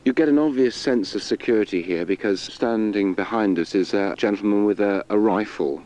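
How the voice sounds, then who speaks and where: Calm male reporter on location. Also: on the low side, normal speed, rough recording. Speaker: radio reporter. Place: outdoors at a safari park.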